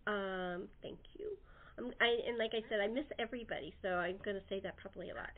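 A woman's voice: mid-range voice, fast talker. A woman hosting a casual, chatty cast interview. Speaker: host of a cast interview show. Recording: narrow phone-line sound.

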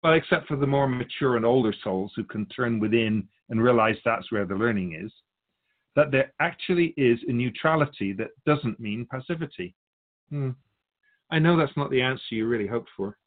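A man speaking as a spiritual guide, answering in a measured, assured, didactic way in philosophical conversation. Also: mid-range voice, animated, narrow, tinny audio.

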